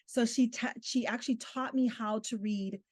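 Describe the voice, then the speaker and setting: A woman's voice: fairly high pitch, fairly even intonation, moderate pace, dry recording. A middle-aged woman from Southern California whose first language is Spanish, speaking earnestly as an interview guest, laughing often and using many fillers. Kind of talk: interview conversation.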